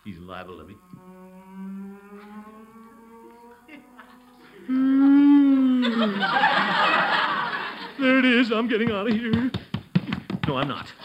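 Jittery middle-aged blusterer, 1940s radio comedy. High-pitched, hugely animated, unhurried, old broadcast with music behind. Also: male voice, lo-fi sound, full character voice. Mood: nervous bluster. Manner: theatrical, muttering to himself, erupting in exclamations. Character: blustering, jittery middle-aged man playing amateur sleuth.

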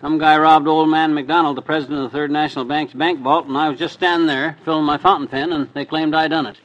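A man playing an excitable, blustering husband in 1950s radio comedy, talking in folksy, indignant, sputtering slang. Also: fairly high pitch, fast, highs cut off, lightly acted. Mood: anxious, pleading.

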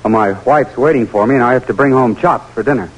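An actor playing a daring, dogged American army lieutenant in a 1940s radio drama. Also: male voice, medium pitch, fast, lightly acted, poor audio. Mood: respectful, sheepish. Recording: old radio broadcast with music.

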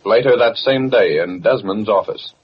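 An actor as a dramatic announcer setting scenes in an old-time radio serial. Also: male voice, lowish voice, moderate pace, close to an everyday voice. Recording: muffled, noisy vintage radio recording.

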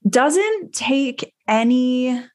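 A woman's voice: high-pitched, expressive, very slow, darkish tone. A woman podcast panelist discussing a TV show in an emphatic, opinionated way.

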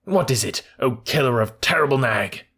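A man voicing a silly, excitable male tailorbird with a husky, sing-song voice. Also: mid-range voice, normal speed. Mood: fawning.

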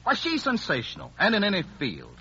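A man's voice: high-pitched, huge pitch swings, a little fast, pushed and bright, husky. A man working as announcer and straight man in 1940s radio comedy patter, emphatic and punchy, on a muffled vintage broadcast with slight noise.

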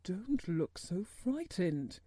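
A miserable middle-aged gentleman, acted out by a woman narrator, speaks in a very mellow, soft-edged tone that rises and falls freely. He is fretful and distracted, halting as though his thoughts are elsewhere. The storybook voice is recorded close and dry. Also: low-pitched, very animated, slightly rough, no room sound, voice pushed down, exaggerated voice. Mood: worried, wretched. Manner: absent-minded, halting, worried.

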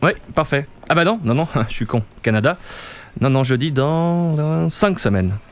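A French actor playing a joking, easygoing barbershop client in naturalistic audio fiction. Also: male voice, on the high side, lively intonation, normal speed. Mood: relaxed, casual. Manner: casual, jokey, chatty.

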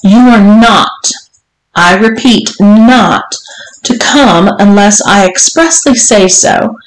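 A woman voicing a domineering, teasing, strict mistress in an audiobook, speaking in an imperious, deliberate way. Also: mid-range voice, close to an everyday voice. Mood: commanding, probing. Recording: roomy, echoing room sound.

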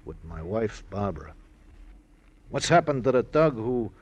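Bitter old washed-up gangster, low voice, proud, brooding, old radio drama. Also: male voice, sing-song, measured pace, a little mellow, slightly rough, lo-fi sound, full character voice. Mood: wistful, brooding. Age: older. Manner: brooding, fragmentary, repetitive. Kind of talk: old-time radio crime drama acting.

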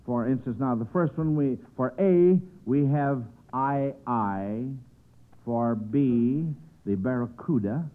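A man's voice: mid-range voice, takes it slowly. Tinny old-time radio quiz host, American man. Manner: folksy, hesitant, encouraging to children. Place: radio studio. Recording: tinny band-limited vintage radio broadcast.

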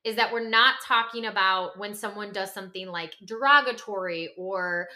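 A woman co-hosting a podcast in animated conversation, emphatic and often checking in with her listener. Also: medium pitch, moderate pace. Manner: acts out imagined reactions in quoted voices. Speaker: podcast co-host.

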